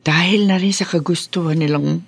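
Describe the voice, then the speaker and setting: Creaky, scheming middle-aged madam. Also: female voice, on the low side, fairly even intonation, normal speed, no echo. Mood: sly, gloating. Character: scheming, greedy middle-aged female madam managing young women. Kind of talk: Filipino radio drama acting.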